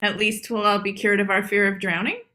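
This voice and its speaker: Woman doing brittle proud animal lady, losing her grip, sharp tense tone, mid pitch, cartoon. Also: little pitch movement, brisk, close to an everyday voice. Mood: anxious. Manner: muttering, tense. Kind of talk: table read of animated comedy script.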